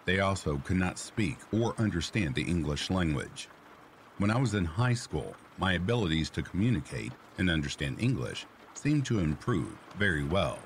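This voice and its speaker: Man, very deep voice, steady scripted story narration, soft rain in the background. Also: moderate pace, a little hiss or hum. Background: faint rain sounds.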